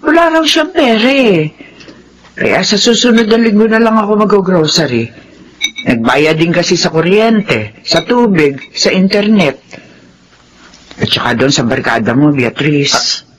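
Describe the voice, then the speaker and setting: A woman's voice: lowish voice, animated, measured pace, slight background noise, rough recording. A woman playing an apologetic, long-suffering older household nanny in a Filipino radio drama, explaining patiently.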